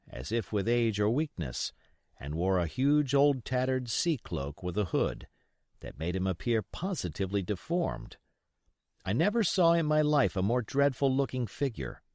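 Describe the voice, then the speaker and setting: A man's voice: on the low side, darkish tone, dry recording, clean studio sound. A man reading a classic adventure novel aloud as scripted literary narration. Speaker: audiobook reader.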